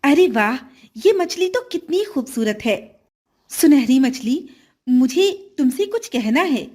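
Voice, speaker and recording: A greedy storybook stepmother with animated, swinging intonation, played by a woman narrator. She is coaxing and eager on the surface, calculating underneath. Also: high-pitched, slow, exaggerated voice. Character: greedy, scheming stepmother of a young boy. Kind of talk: children's storybook dialogue.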